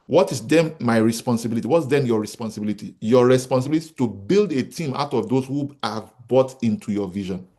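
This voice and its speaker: A man's voice: medium pitch, fast talker, few pauses, warm tone. A Nigerian man in his forties, a company founder giving a motivational business talk to an audience, who repeats his key points and asks rhetorical questions. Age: 40s. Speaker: real estate company founder speaking to an audience.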